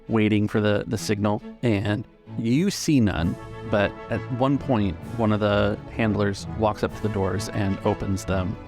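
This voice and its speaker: Low, gravelly man narrating a tabletop game. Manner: halting, searching descriptive phrasing. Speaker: game master.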